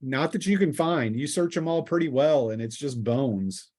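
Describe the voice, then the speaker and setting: Male game master for a tabletop fantasy session; mid-range voice, improvised narration, thinks aloud with halting pauses. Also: mid-range voice, lively intonation, a little mellow.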